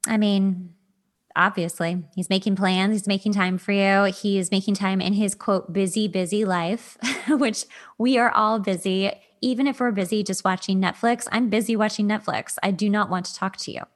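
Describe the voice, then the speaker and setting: A woman hosting a podcast in a casual, chatty way, with informal animated asides. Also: mid-range voice, moderate pace. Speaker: podcast host. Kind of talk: casual chat.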